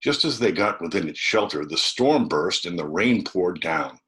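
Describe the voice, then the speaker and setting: Deep-voiced man reading a novel. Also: animated, normal speed, fairly bright tone. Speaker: volunteer storybook reader.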